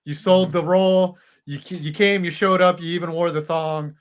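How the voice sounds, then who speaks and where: A man co-hosting a casual sports podcast, jokey and quick to chime in. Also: sits high, steady tone, clear, resonant tone. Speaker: podcast co-host. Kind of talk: casual chat.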